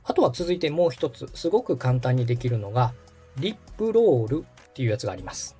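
Animated, husky-voiced man coaching. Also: mid-range voice, quick pace, soft background music. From Osaka, Japan. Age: 30s. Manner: encouraging, polite. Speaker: podcast host and radio director coaching vocal warm-ups. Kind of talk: conversational step-by-step instruction.

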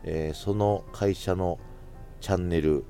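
A man's voice: sits low, fairly even intonation, takes it slowly, mellow, faint music behind. A man in his thirties hosting a casual solo audio show, talking conversationally and thinking aloud as he goes. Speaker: podcast host who works in radio sales.

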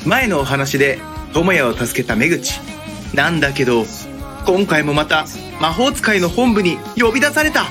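A woman's voice: low-pitched, expressive, normal speed. A woman giving dramatic, scripted trailer narration for an audio drama. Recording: music behind the voice.

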